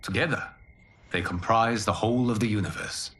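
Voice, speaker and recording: An actor playing a calm fire god and mentor in a game cinematic, speaking in a formal, measured, authoritative way. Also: male voice, fairly low pitch, close to an everyday voice. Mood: explaining gravely. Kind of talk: video game cinematic acting.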